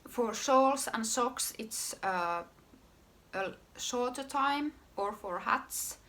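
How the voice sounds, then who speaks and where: A woman from Finland with a Finnish accent, hosting her own podcast about her knitwear design work in an unhurried, casual way. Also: on the high side, animated, a bit bright. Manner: unhurried, pausing often with fillers and restarts. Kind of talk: casual solo podcast talk.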